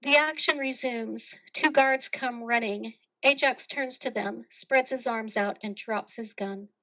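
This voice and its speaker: A woman narrates a play by reading its stage directions. Her voice is a little higher than average. The delivery is scripted narration. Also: measured pace, sharp tone, poor audio.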